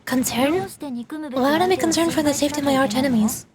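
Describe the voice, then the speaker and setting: A woman's voice: high-pitched, fast talker, crowd noise, close to an everyday voice. A woman voicing a haughty, vengeful young noblewoman knight from a fantasy game, formal and indignant, over a noisy background.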